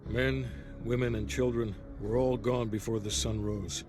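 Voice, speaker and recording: A man's voice: mid-range voice, fairly even intonation, unhurried, natural voice. Serious intelligence officer, male actor, mid-range, matter-of-fact and composed, briefing in a game cutscene with score behind. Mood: sober. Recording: cinematic music behind.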